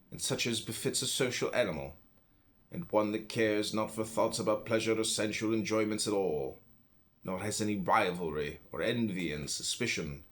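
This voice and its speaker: A man reading a philosophy book aloud in a solemn, deliberate way, phrase by phrase with pauses. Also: on the low side. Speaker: audiobook reader.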